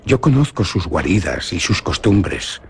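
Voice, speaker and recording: Brief: a young noble hunter from Spain, boastful yet frightened, low, very expressive radio drama delivery. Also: male voice, a little slow, a little hiss or hum. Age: young adult. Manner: dramatic, swings from boastful to fearful.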